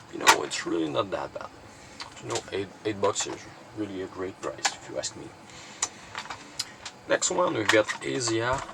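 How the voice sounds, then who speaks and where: A man's voice: fairly low pitch, creaky, noisy background. A man presenting his collection in a casual, unscripted show-and-tell, rambling and hesitant with lots of filler words.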